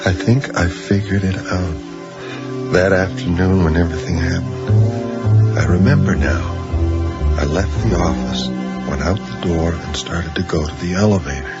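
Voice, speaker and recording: Radio drama: confused middle-aged accident patient, slow and halting, obsessive, muffled broadcast under strong music. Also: male voice, sits low. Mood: dazed musing. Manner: hesitant, halting, musing. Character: dazed male accident patient with a strange obsessive story. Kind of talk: 1980s radio drama acting. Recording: dull 1980s radio broadcast, loud music.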